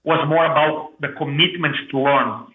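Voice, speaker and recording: A man from Brazil with a thick Brazilian Portuguese accent, telling his story as an interview guest in an energetic, restarting way. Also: a little high, normal speed. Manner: energetic, repeats and restarts words. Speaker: guest, public speaking coach. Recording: echoey room over phone-like line.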